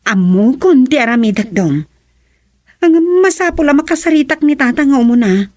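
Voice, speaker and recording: A woman's voice: high voice, expressive, moderate pace, a little mellow, no echo, clean studio sound. An actress playing a concerned young woman in an Ilocano family radio drama, earnest and questioning, with an Ilocano accent. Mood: worried.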